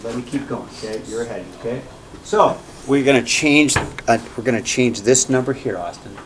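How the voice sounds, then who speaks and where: A man teaching a math class, lively and repetitive, often checking in with "okay?" and "right?". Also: mid-range voice, brisk, background noise. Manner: energetic, repeats key points, asks "okay?" and "right?" often. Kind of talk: classroom lecture.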